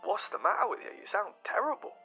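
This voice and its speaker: A man on a dull phone line in an audio drama, with a bright, fairly high voice. He is affectionate and flustered, stammering as he hesitates. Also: sing-song, fast talker, full character voice. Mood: concerned, fond. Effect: phone line filter. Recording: dull telephone line with music underneath.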